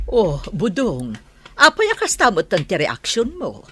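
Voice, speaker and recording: A woman's voice: mid-range voice, huge pitch swings, measured pace, bright, forceful tone, husky, heavily acted. An actress playing a frank woman being courted in a radio drama, direct and questioning in manner. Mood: welcoming. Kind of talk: radio drama acting in Ilocano.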